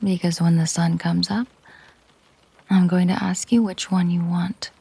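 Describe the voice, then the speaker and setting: A woman performs a dangerous, protective mafia boss girlfriend for an intimate audio roleplay. Her voice is dark and breathy with a gravelly fry, often sinking toward a whisper. She speaks very slowly and deliberately, possessive and fully in control. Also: on the low side, steady tone, full character voice. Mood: cold, resolute.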